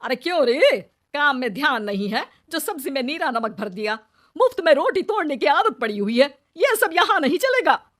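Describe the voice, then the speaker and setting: Casting: woman voicing a spiteful middle-aged mother-in-law for a cartoon tale, high-pitched, harsh, swinging nag. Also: very animated, moderate pace, fairly bright tone. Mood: scornful. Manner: harsh, taunting, nagging. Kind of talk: animated moral story dialogue.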